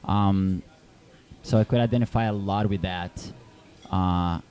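A man's voice: deep voice, fairly even intonation, measured pace, warm tone. A young Brazilian man with a Brazilian Portuguese accent, a film director answering interview questions, hesitant with many fillers and restarts.

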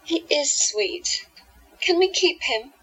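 A woman playing a sweetly manipulative hypnotist in a radio play, soft and musical, coaxing people to obey. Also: sits high, animated, takes it slowly, lo-fi sound, heavily acted. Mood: playful. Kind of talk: audio drama acting.

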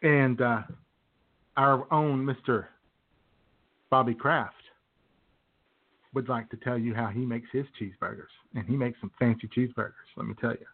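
A man's voice: mid-range voice, steady tone, moderate pace, pauses often. A man hosting a radio show with casual, folksy, rambling comedic banter. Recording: tinny phone-like sound, faint noise.

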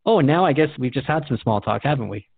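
A man's voice: mid-range voice, animated, quick pace, creaky, breathy edge, dry recording. A man hosting a radio show in a conversational, earnest and reflective way. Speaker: radio host. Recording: dry, phone-like narrow band.

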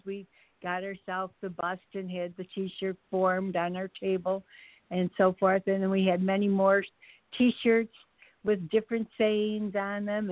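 An older woman telling stories casually as a podcast guest, speaking haltingly and searching for her words. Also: lowish voice, gravelly, no room sound. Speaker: podcast guest, mother of the host. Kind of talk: casual anecdotal storytelling. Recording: thin phone-like line.

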